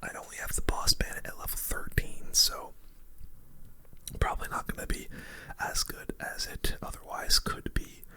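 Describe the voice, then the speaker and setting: A streamer giving gaming commentary in a hushed, unvoiced ASMR whisper, breathy and close to the microphone, with informal, slangy phrasing.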